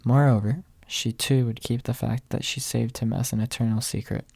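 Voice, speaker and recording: A man reading a story softly as scripted ASMR narration, in a hushed, measured way with a husky, breathy voice. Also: mid-range voice, steady tone, no echo. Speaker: audiobook reader.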